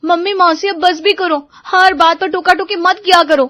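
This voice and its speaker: A woman voicing an exasperated, defiant young daughter who pleads and talks back in an animated story. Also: very high voice, moderate pace, dry recording, clean studio sound, voice pushed up. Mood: defiant, annoyed. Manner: pleading, whiny back-talk. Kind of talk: Hindi animated moral story dialogue.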